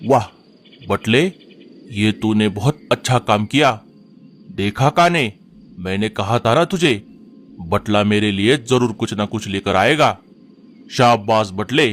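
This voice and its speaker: A man's voice: lowish voice, expressive, takes it slowly, pauses often, slight background noise. A man voicing a greedy, bossy male vulture chief who barks rude orders at his underlings in a cartoon. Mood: pleased. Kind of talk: cartoon voice acting.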